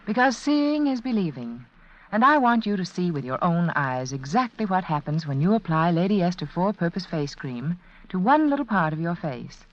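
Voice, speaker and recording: Woman, vintage radio advert, persuasive, lowish voice, muffled 1940s recording. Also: sing-song, moderate pace. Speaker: beauty product spokeswoman.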